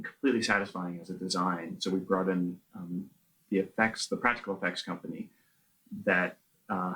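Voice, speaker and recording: A man, the film's director, giving measured, reflective interview answers. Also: deep voice, pushed and bright, poor audio. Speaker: film director.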